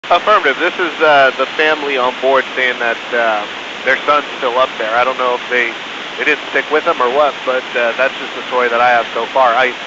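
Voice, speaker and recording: A man's voice: mid-range voice, steady tone. Man on a hissing cockpit radio. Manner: hesitant, halting, full of "uh". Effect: aviation radio transmission. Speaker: airline pilot. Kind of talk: radio exchange with ramp control. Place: airliner cockpit at the gate. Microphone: cockpit headset radio. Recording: muffled radio with loud hiss.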